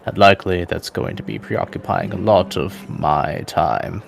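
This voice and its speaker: A man's voice: low-pitched, unhurried, gravelly, noisy background, exaggerated voice. A man playing a weary, guarded male adventurer in a tabletop game, speaking in a reticent, matter-of-fact way. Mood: weary, uncertain. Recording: voice over prominent background music.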